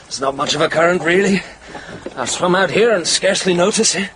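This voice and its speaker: An actor playing an affable, eager young English gentleman in a radio drama, polite and expressive. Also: sits high, brisk, background noise, natural voice. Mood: cheerful.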